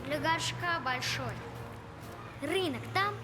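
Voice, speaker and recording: A woman voicing a plucky, determined girl in an animated film, earnest and a little bossy. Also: high-pitched, takes it slowly, over music. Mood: firm, dismissive.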